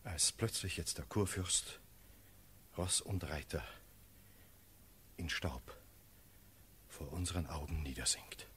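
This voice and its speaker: An actor playing a grave cavalry officer, giving a solemn, measured verse report in a classical radio play. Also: male voice, rumbling low, a bit bright, slight background noise, exaggerated voice.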